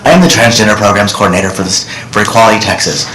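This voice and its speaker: A man's voice: fairly low pitch, very fast, bright, forceful tone, slight background noise. Earnest man testifying in an echoey hearing room. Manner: earnest, direct, addresses senators. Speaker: witness testifying. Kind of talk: public testimony. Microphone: desk microphone. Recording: roomy hearing room audio.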